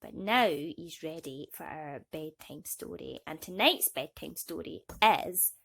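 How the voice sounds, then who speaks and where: A woman reading a bedtime story aloud to children in an expressive storytelling style. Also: sits low, a little slow, gravelly.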